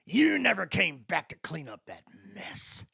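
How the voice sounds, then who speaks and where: Guy doing angry drunk alien for an audiobook, bossy, pitched up, all over the place. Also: big pitch swings, a little slow, creaky, bright, forceful tone, breathy edge, dry recording, clean studio sound, full character voice. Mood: belligerent. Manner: belligerent, drunken.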